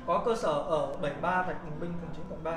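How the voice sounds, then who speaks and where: A man's voice: on the high side, steady tone, fast talker, music in the background. A young man hosting a livestream from inside a gym, chatting upbeat and speaking straight to his viewers. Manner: chatty, addresses viewers directly. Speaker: livestream host touring a gym. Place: indoor gym and rooftop cafe. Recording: roomy gym echo with crowd noise.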